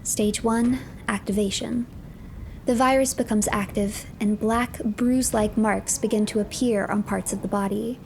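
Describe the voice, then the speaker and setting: A woman narrating a game story as a streamer, reading files aloud in a measured, formal way. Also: mid-range voice, fairly even intonation, a little mellow, slight background noise.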